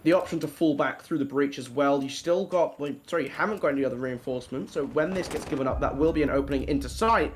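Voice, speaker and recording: A man's voice: fairly high pitch, fast talker, hardly any pauses. A man commentating a tactical shooter esports match, chatty and joking with his co-caster between plays. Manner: chatty, self-deprecating banter between plays. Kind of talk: play-by-play commentary. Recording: broadcast with noisy game audio.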